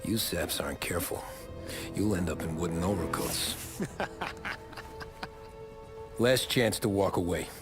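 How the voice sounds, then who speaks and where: Crime game lead: loyal, polite but tough American gangster, low register, music behind. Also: male voice, slow, pauses often. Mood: menacing. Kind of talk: dramatic game cutscene acting.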